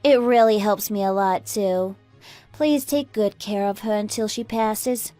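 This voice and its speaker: A woman's voice: mid-range voice, a little slow, warm tone, no room sound, soft background music, lightly acted. A woman playing an entitled, self-serving young married woman in a radio drama, with a dramatic, easily flustered delivery. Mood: smug, sweet. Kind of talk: scripted radio drama acting.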